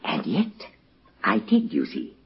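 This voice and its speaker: A gloating, sinister British gentleman villain in a vintage radio play. His voice is very husky and swings dramatically in pitch as he taunts with mock courtesy. The recording is dull and muffled. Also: wildly swooping pitch, slow pace, full character voice. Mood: gloating menace. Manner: theatrical, mock-polite, taunting, swooping emphasis. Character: gloating, sinister villain, an expert in tropical diseases. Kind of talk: old-time British radio drama acting.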